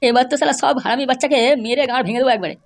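A man's voice: very high voice, rapid-fire, gravelly. A man voicing a grumbling, crude but loyal gang underling in a comedy cartoon. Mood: swaggering.

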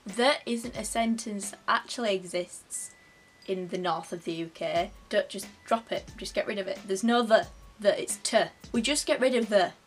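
A young woman with a broad Yorkshire accent talks straight to camera in her bedroom, joking at her own expense. Her voice sits at an ordinary middle pitch, and soft music plays faintly behind her. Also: moderate pace. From Yorkshire, England. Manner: chatty, self-mocking, playful. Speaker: vlogger. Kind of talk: casual comic chat to camera. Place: bedroom, indoors.

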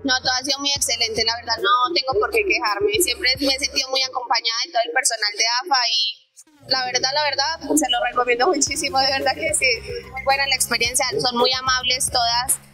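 A young woman with a Colombian accent answering questions on a plaza, relaxed and hesitant with fillers. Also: high-pitched, a little fast, faint music behind, rough recording. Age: young adult. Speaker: interviewee. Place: outdoor town plaza. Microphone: handheld mic held by interviewer.

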